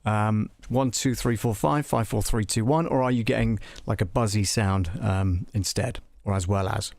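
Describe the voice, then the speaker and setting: A man hosting a casual live software demo, thinking aloud in a halting way and muttering test counts as he troubleshoots. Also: lowish voice, steady tone, creaky, no echo. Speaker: live stream host and audio tutor.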